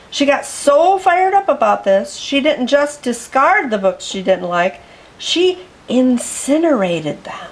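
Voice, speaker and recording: Slow expressive woman reading a picture book. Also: sits high, low-quality recording. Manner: expressive, explains words for children. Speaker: storyteller.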